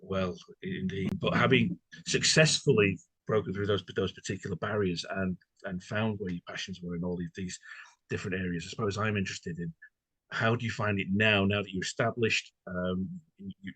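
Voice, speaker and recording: Bright, slightly husky male interviewer. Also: low voice, fairly quick, dry recording, poor audio. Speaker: podcast host, interviewer. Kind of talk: conversational interviewing.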